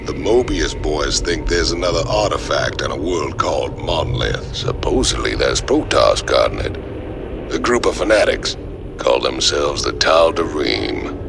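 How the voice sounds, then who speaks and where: An actor playing a rough, brash middle-aged outlaw mercenary in a video game, with a gravelly Southern US drawl and folksy manner. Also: male voice, a bass voice, animated, measured pace, full character voice. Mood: gruff, confident. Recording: music behind the voice.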